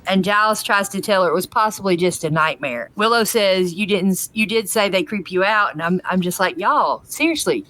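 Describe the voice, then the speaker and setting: A woman with a medium-pitched voice co-hosts a fan podcast. She is informal and conversational, moving between recap and casual opinion. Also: brisk, few pauses, fairly bright tone. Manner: informal, uses y'all. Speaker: podcast co-host.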